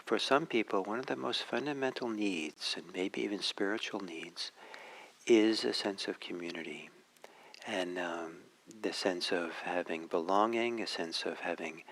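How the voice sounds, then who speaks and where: A man who is a native Norwegian speaker gives a reflective talk as a meditation teacher, pausing often and searching for his words. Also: on the low side, a bit bright, rough recording. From Norway.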